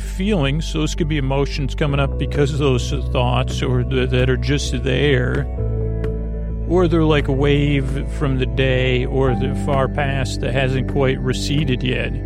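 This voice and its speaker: A man hosting a bedtime podcast in a creaky voice, meandering and repetitive, halting and wandering off on tangents. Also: medium pitch. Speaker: podcast host. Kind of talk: rambling bedtime podcast monologue.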